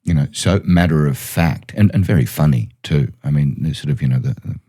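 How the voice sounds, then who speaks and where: A man's voice: extremely low pitch, a little fast, dark tone, breathy edge. A man hosting a reflective discussion, repeating phrases for emphasis. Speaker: podcast host.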